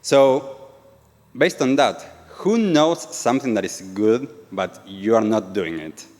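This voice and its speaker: A man's voice: mid-range voice, takes it slowly, darkish tone. A man from Argentina with an Argentine Spanish accent, an earnest yoga and meditation teacher giving a live talk and asking the audience questions. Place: event venue with an audience. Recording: reverberant event hall.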